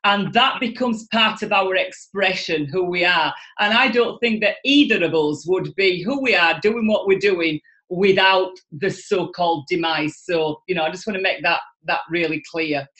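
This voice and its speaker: A middle-aged woman with a Yorkshire accent, speaking as a guest in an enthusiastic, expressive way over a video call from home. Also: lowish voice, normal speed, hardly any pauses. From Yorkshire, England. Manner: enthusiastic, expressive, gestures a lot. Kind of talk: animated motivational talk. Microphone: video call webcam.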